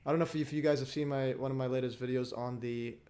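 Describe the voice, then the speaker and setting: A man's voice: mid-range voice, steady tone, quick pace, warm tone. A man hosting a casual livestream chat, rambling through clips with frequent restarts and laughs. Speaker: host.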